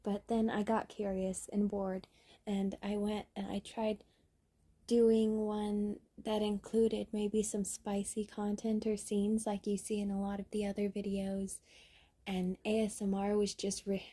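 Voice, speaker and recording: A young woman, an ASMR creator, chatting casually in a soft, half-whispered, giggly way. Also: medium pitch, no room sound. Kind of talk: casual chat intro to an ASMR video.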